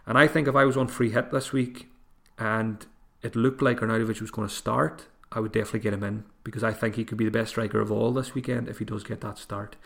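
Hoarse man hosting a podcast. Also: lowish voice, steady tone, quick pace. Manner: thinking aloud, frequent restarts and fillers. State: voice getting hoarse. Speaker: podcast host. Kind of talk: solo podcast advice talk.

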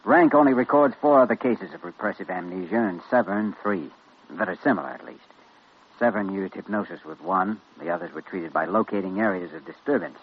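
An actor playing an earnest, firm young hospital doctor in a 1940s radio drama, brisk and authoritative. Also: male voice, low voice, close to an everyday voice. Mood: thoughtful. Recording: muffled, noisy old radio recording.

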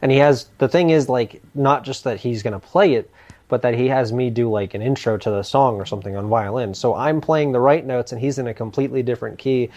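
An American man hosting a podcast, telling relaxed stories with quick restarts. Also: mid-range voice. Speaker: podcast host, violinist. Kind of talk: casual chat.

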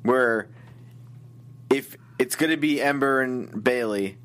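A man's voice: medium pitch, slow pace, a bit bright. A man co-hosting a casual wrestling show, ranting about the way matches are booked with exasperated opinions. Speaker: wrestling recap co-host.

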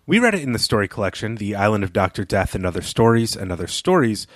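A man talks as a co-host on a literary podcast. His voice is on the low side, and his delivery is enthusiastic and conversational. The recording is dry and free of room sound. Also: very animated, fast, no echo.

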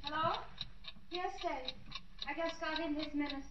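Worried housewife, high voice, fretful, 1940s radio drama, echoey noisy old recording. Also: female voice, fairly even intonation, unhurried, recorded from a distance, dull audio. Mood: upset. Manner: nervous, fretful. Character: anxious, flustered young housewife, earnest and worried.

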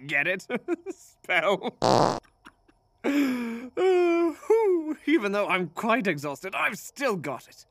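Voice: medium pitch, very animated, very slow, no room sound, exaggerated voice. An actor playing a pompous, cocky sorcerer who serves an empress, haughty and theatrical with flustered hesitations, in a fantasy audio drama. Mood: flustered, amused.

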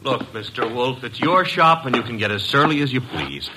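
An actor playing a brash, wisecracking detective's assistant in a classic radio drama, with slangy, punchy delivery. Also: male voice, medium pitch, sing-song, moderate pace, background noise, natural voice. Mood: protesting.

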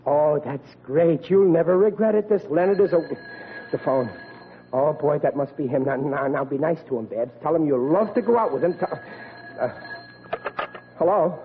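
A man's voice: on the high side, moderate pace, natural voice. A man with an American accent playing a blustering, meddlesome middle-aged working-class dad in an old radio sitcom, emphatic and folksy. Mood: excited. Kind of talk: 1940s radio sitcom acting. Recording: tinny, noisy old radio recording.

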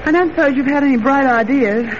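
A woman's voice: high voice, fast, natural voice. A woman playing an impatient, bossy, curious young newspaper reporter in 1940s radio drama, with an exasperated, scolding manner. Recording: muffled, noisy old radio recording.

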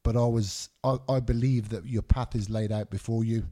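A man's voice: mid-range voice, fairly even intonation, moderate pace, warm tone. A middle-aged man, born in Scotland and raised in England, talking candidly as a guest on a casual podcast, rambling with lots of fillers. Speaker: MMA referee, guest.

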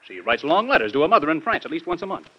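An actor playing a President's flippant, carefree young adult son in an old-time radio drama, glib and defensive. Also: male voice, on the high side, big pitch swings, very fast, slightly rough. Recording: dull lo-fi vintage radio recording.

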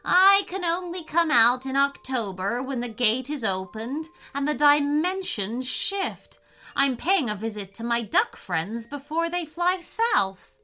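A woman's voice: high-pitched, sing-song, unhurried, pushed and bright, voice pushed up, heavily acted. A woman voicing a kindly, slightly flustered old witch in a children's story, chatty and exclamatory. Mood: friendly, chatty. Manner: exclamatory, fussy, repeats herself.

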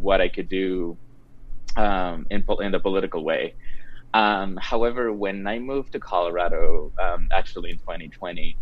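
Deep-voiced hesitant Spanish-accented man. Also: highs cut off. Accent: Ecuadorian Spanish. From Ecuador. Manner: hesitant, many ums and restarts. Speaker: guest, translator and interpreter. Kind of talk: casual interview talk.

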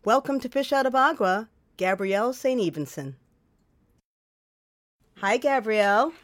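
Radio host from the Bronx, woman, expressive storyteller, dry studio sound, close shared mic. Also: fairly high pitch, measured pace, no room sound. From New York, the Bronx. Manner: expressive, animated reading. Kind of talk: storytelling then casual interview. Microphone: shared microphone up close.

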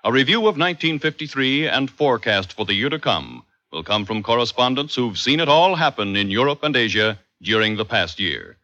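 A man's voice: medium pitch, very animated, fairly quick, hardly any pauses, bright, forceful tone. A man announcing a program promotion in formal, polished 1950s radio style. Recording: dull old radio recording.

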